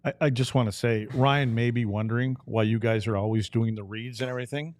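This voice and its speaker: Man, middle pitch, dry sound, podcast co-host, casual joking banter. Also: steady tone, a little fast, a little mellow, dry recording.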